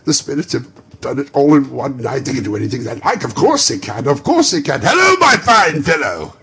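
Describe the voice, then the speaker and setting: A man voicing an old miser overcome with joy, shouting in high, cracking exclamations. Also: expressive, fairly quick, breathy edge. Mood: ecstatic. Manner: shouting with manic delight. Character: old male miser, giddy and overjoyed.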